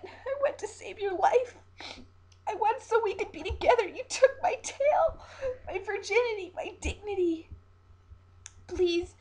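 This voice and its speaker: A woman's voice: very high-pitched, a little mellow, voice pushed up, full character voice. A woman storyteller voicing a heartbroken, naive aged mermaid, crying and pleading desperately. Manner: sobbing, wailing, pleading delivery.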